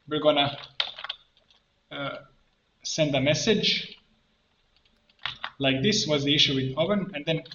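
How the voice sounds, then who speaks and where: A man presenting an informal screen-share tutorial, thinking aloud step by step in halting phrases. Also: a little high. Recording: roomy echo, muffled band, background noise. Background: keyboard typing and mouse clicks.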